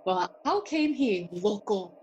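Brooding young noble, slow and deliberate. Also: female voice, mid-range voice, lively intonation, darkish tone, highs cut off, slight background noise. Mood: inquisitive. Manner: musing, questioning, theatrical. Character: young Hamlet, brooding, witty, curious noble. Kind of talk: bilingual Spanish-English Shakespeare audio play acting.

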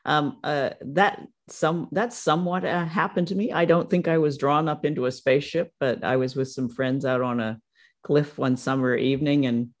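A man, a voice actor, talking casually in an interview, modest and halting, with many restarts and fillers. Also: fairly high pitch, fairly even intonation. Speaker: voice actor guest.

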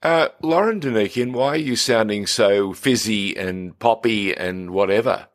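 A man's voice: on the low side, measured pace. A middle-aged man, a playful, teasing podcast co-host who chats casually. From Australia. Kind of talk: casual chat.

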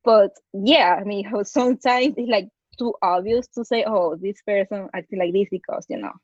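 A woman's voice: medium pitch, moderate pace, no echo, dull audio. A woman from Ecuador with a Latin American Spanish accent, a guest telling a personal story in a casual interview, with many restarts. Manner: storytelling, hesitant restarts, acts out quotes. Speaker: guest, para-rower.

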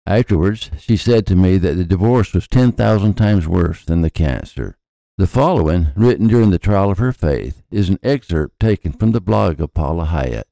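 A man's voice: sits low, lively intonation, normal speed, vocal fry, dark tone, dry recording. An older man reading a scripted personal introduction as a podcast host.

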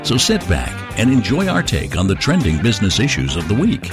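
A man reading a scripted show promo and disclaimer in a smooth, polished announcer style. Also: lowish voice, wildly swooping pitch, a little fast. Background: loud guitar music.

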